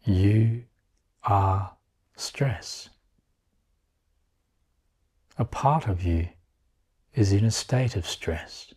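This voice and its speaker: A man with a low, mellow voice leads a guided meditation. He speaks very slowly and calmly in short phrases, leaving long pauses between them. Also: slightly rough.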